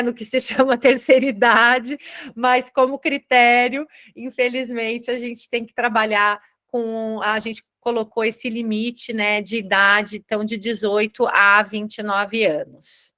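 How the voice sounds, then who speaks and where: A woman's voice: fairly high pitch, sharp tone. A woman moderating a virtual Q&A forum on a video call, explaining things in a friendly way and often adding tag questions. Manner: friendly, explanatory, frequent "né" tags. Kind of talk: online meeting moderation.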